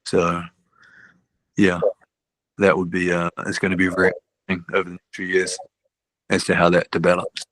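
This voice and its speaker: Middle-aged kiwi coach guy, deep voice, talks in loops and keeps saying right?. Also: monotone, normal speed, long pauses, fairly bright tone, dry recording, poor audio. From New Zealand. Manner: rambling, restarts often, ends with "right?". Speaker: basketball coach. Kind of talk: casual interview chat.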